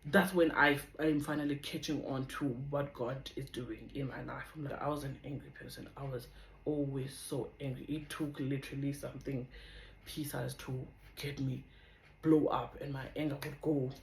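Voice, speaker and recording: A young woman with a South African accent and a deep voice, chatting in a rambling, casual way as she shares a personal testimony on her vlog. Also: fairly even intonation, normal speed, roomy sound, a little hiss or hum, low-quality recording. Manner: chatty, rambling, drifts off topic. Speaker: vlogger.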